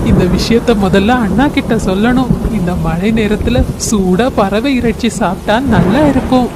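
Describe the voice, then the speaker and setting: A woman's voice: medium pitch, unhurried, close to an everyday voice. Woman acting a sly young eagle, noisy track. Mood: sly, gloating. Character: sly, greedy younger-brother eagle gloating over a feast. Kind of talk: Tamil cartoon story voice acting. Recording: noisy track with outdoor ambience.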